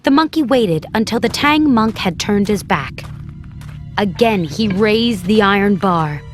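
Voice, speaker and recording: A woman's voice: mid-range voice, expressive, a little slow. Woman narrating a children's story over music.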